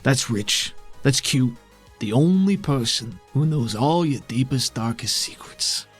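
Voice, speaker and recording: Casting: male player as sardonic, threatening game villain, husky, folksy drawl of taunts, faint music behind. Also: medium pitch, animated, measured pace. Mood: menacing, taunting. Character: menacing red-eyed trickster, sardonic and taunting.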